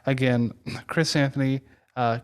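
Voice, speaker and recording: Very deep-voiced woman co-host. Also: steady tone, unhurried, clean tone. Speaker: interview co-host. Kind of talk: casual chat.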